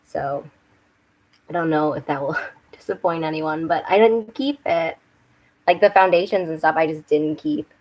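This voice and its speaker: A young woman with a slightly hoarse voice, chatting casually and rambling a little on a home live stream while doing her makeup. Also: low-pitched, normal speed, a little mellow, rough recording. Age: young adult. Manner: rambling, chatty, with restarts and self-corrections. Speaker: book and makeup channel host. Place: home room with bookshelves. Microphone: webcam.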